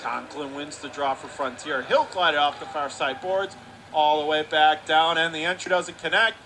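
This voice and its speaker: A man calling live radio play-by-play of a hockey game, talking rapidly and rising to excited shouts on close plays. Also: a little high, slight background noise.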